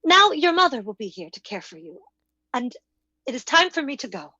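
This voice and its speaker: A woman plays a dainty, self-denying young woman in a radio melodrama, with a very high, swooping voice. She is earnest, pleading and distressed, heard through a slightly muffled microphone. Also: very animated, moderate pace, sharp tone, dry recording, full character voice. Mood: resolute, hurt. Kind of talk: old-time radio drama acting.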